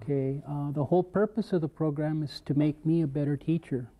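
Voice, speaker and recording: A young man from the American Southwest with an American accent, a guest teacher trainee answering questions in a school studio, relaxed with hesitant fillers. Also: on the high side, a little fast. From southwestern United States.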